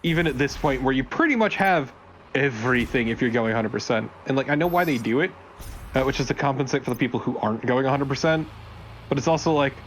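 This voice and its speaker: A man's voice: mid-range voice, expressive, fast talker, slight background noise, poor audio. A man chatting casually as co-commentator on a video game, dry and opinionated. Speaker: let's play co-commentator. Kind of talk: casual gameplay chat.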